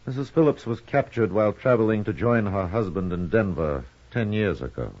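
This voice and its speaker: An actor playing a formal, courteous frontier army colonel in a 1950s radio western, polite and matter-of-fact. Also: male voice, lowish voice, expressive, moderate pace. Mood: grave, explanatory. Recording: muffled vintage radio recording.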